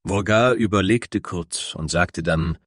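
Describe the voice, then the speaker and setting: Low-voiced male fantasy narrator. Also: takes it slowly, a bit bright, no echo. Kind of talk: scripted fantasy story narration.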